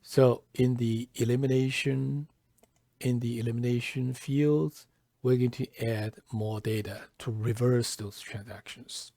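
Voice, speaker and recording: Slow-spoken man with a creaky, mellow voice. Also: mid-range voice, fairly even intonation, dry recording. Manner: halting, deliberate delivery with pauses mid-phrase. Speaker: software tutorial presenter. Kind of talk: step-by-step tutorial narration, lightly scripted.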